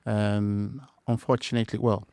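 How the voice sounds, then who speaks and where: Nigerian man in his thirties or forties, lowish voice, reflective and measured, dry studio sound with faint music. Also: level tone, warm tone, clear, resonant tone, no room sound. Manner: thoughtful, hesitant, many fillers and restarts. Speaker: podcast guest, structural engineer. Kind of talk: conversational interview.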